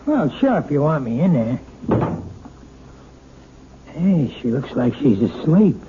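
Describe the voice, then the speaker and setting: A gruff, sarcastic husband in a 1970s radio play. He is blunt and bullying, heard on a dull old broadcast recording with plenty of noise. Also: fairly high pitch, very animated, slow. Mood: puzzled, wary. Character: surly, jealous, bullying husband, grudging and blunt. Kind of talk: 1970s radio drama acting.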